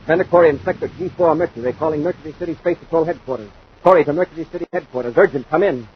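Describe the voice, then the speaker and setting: A man's voice: a little high, racing, lightly acted. An American man playing a decisive, authoritative space patrol commander in 1950s radio adventure style, with clipped delivery. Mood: urgent. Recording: dull, noisy 1950s radio recording.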